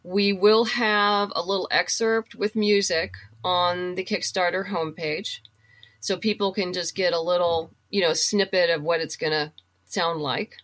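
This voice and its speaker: Lower-pitched woman in a casual interview. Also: fairly even intonation, a little slow, fairly bright tone. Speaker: interview guest, writer and producer.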